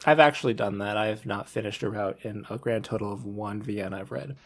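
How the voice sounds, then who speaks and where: A man's voice: on the low side, fairly quick. A man talking casually on a podcast panel, rambling a little and repeating words as he thinks aloud.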